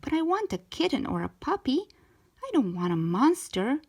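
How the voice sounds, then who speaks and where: Woman playing an excitable little storybook girl, high-pitched. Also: normal speed, husky, exaggerated voice. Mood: upset, bawling. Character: young girl pleading for a pet, excitable, tearful. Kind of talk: children's storybook character voice.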